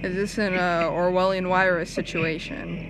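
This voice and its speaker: A young woman giving casual, excitable live reaction commentary on game cutscenes, full of exclamations. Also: lowish voice, moderate pace, noisy background. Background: game cutscene music.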